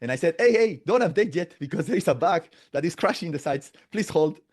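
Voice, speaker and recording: Brief: male guest, 40s, somewhat high voice, chatty and halting with tag questions. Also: lively intonation, darkish tone. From Latin America. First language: Spanish. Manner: restarts a lot, tags phrases with "no?" and "right?". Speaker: podcast guest. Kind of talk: casual interview storytelling.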